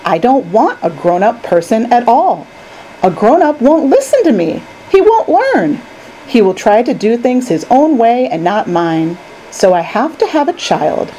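A woman voicing an eccentric, excitable male candy maker from a storybook, emphatic and commanding. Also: on the high side, unhurried, a little hiss or hum, voice pushed up, poor audio. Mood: earnest, confiding.

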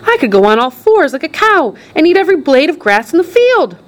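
A woman voicing a gleeful, excitable old grandfather in a storybook. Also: high-pitched, brisk, pitched above the performer's own voice. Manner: excited, delighted.

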